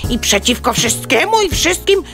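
A woman's voice: high voice, sing-song, moderate pace, gravelly, fairly bright tone, soft background music, heavily acted. A woman playing a curious, plucky, naive hen in a children's audio play, exclaiming and stammering into clucks. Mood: secretive.

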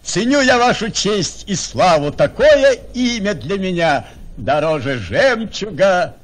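A man's voice: high voice, big pitch swings, slow, full character voice. An actor playing an indulgent father of noble daughters in a radio play, declaiming verse expressively. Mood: benevolent. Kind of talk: theatrical verse radio drama acting.

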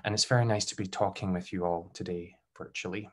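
A young Scottish man giving a recorded conference talk over a webcam, measured and careful, with frequent um fillers. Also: deep voice, fairly even intonation. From Scotland. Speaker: doctoral researcher presenting.

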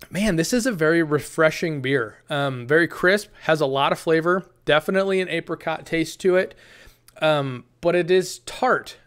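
A man's voice: on the high side, a bit bright, smooth. A man in his thirties reviewing a beer from his desk in a casual, rambling way, full of ums and restarts. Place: small room, indoors, green screen. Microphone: desk camera, framed from the chest up.

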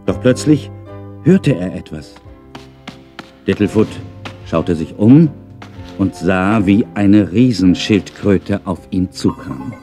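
A man's voice: deep voice, expressive, takes it slowly, long pauses, mellow, faint music behind, exaggerated voice. A middle-aged man narrating a children's story in a playful way, with wry, chatty asides.

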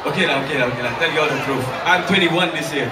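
A young man with a Singaporean accent, a club DJ shouting jokes and calls to the crowd from the stage. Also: a little high, racing. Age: 20s. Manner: shouting, joking, crowd call-and-response. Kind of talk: hyping up a nightclub crowd. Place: packed nightclub stage. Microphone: handheld mic on stage, filmed from far in crowd. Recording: echoey club from far off, loud crowd noise.

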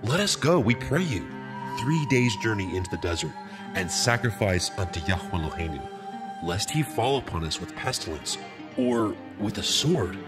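An actor playing an earnest, pleading Hebrew prophet in a dramatized scripture reading. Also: male voice, lowish voice, big pitch swings, normal speed. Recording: music behind the voice.

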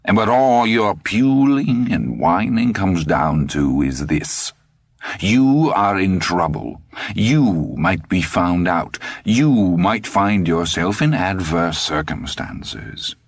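A man voicing a sinister old man in his seventies who speaks slowly, measured and coldly patient. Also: low-pitched, expressive, no echo, voice pushed up, exaggerated voice. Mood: menacing. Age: 70s.

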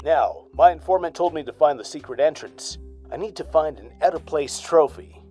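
A man doing a bold, earnest radio-serial hero who pronounces every line with square-jawed conviction. The voice sits a bit high for a man, with soft music far behind. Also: animated, normal speed, lightly acted. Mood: earnest heroic. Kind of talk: parody of old-time radio serial.

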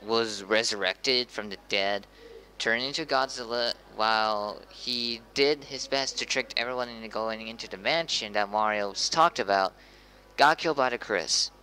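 A man's voice: mid-range voice, bright, forceful tone, rough recording. A man playing a hesitant local TV news anchor, informal and stumbling over his words in an amateur drama. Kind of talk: amateur acted news interview.

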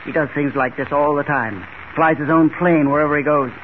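An actor playing an incredulous airfield boss in theatrical, exclamatory 1940s radio drama style, on an old radio recording. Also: male voice, a little high, fairly even intonation, a little fast, lightly acted. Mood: wry, worried. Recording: tinny, noisy 1940 radio recording.

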